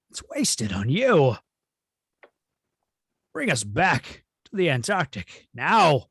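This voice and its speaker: A man's voice: fairly high pitch, fairly bright tone, breathy edge, dry recording, studio quality, exaggerated voice. A man playing an imperious alien villain, pompous and threatening, in an amateur table read.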